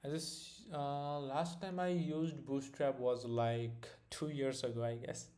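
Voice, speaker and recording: A man's voice: medium pitch, fairly even intonation, takes it slowly, a little mellow, a little hiss or hum. Brief: young male streamer, Indian accent, easygoing and rambling, mid-range voice. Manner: loose, filler-heavy, restarts often. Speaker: live-stream host. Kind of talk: casual live-stream chat and product demo.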